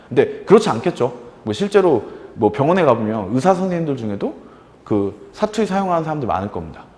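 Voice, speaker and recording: Animated man lecturing, lively pitch. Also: long reverb tail. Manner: animated, with many fillers and acted-out examples. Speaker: fiction writing instructor. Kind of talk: lecture on writing fiction.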